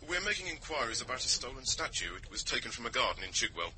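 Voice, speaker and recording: An actor with a British accent playing a brisk, courteous detective sergeant heard over a telephone in a radio drama. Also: male voice, mid-range voice, sing-song, bright, forceful tone. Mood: courteous, official. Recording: noisy distant telephone line.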